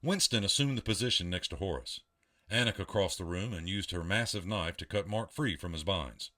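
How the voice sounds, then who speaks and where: Deep-voiced male audio drama narrator. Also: a little fast, bright, forceful tone.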